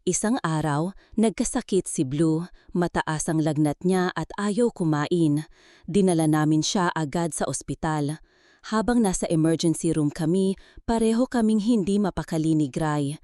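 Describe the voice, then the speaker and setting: Female narrator for sentimental first-person drama; lowish, mellow voice, dry close sound. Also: normal speed, no room sound. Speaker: story narrator. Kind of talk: scripted first-person dramatic story narration in Tagalog radio drama manner.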